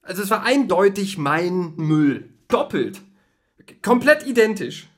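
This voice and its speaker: A man's voice: high-pitched, big pitch swings, unhurried. An actor playing an anxious, self-absorbed city man in a naturalistic radio drama, with an agitated, hesitant delivery. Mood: anxious, defensive.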